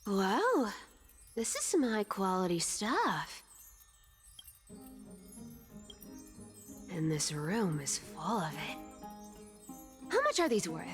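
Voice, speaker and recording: An actress voicing a sly, greedy female thief demigod in a video game, teasing and theatrical. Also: mid-range voice. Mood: playful, teasing. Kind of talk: video game character acting.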